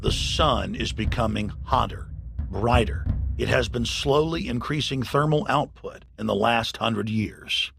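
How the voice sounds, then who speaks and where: A man with a gravelly voice reading scripted, accusatory documentary narration in a forceful way. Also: medium pitch, measured pace. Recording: voice-over with clear background noise.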